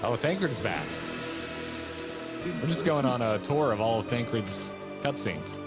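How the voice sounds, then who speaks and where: A man's voice: medium pitch, lively intonation, measured pace, over loud music. A man giving casual, wry reaction commentary on game cutscenes, swearing freely as he co-hosts a stream. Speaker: co-host of a gaming reaction stream.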